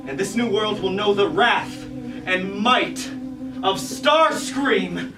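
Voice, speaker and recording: A man's voice: high voice, lively intonation, slow pace. A man playing a proud, bitter rebel robot warrior in a staged tragedy, declaiming grandly in an echoey room. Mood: grandiose, defiant. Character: proud bitter rebel robot warrior, ambitious traitor turned self-crowned king. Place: indoor room at a live staged reading. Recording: echoey room from far off, noisy.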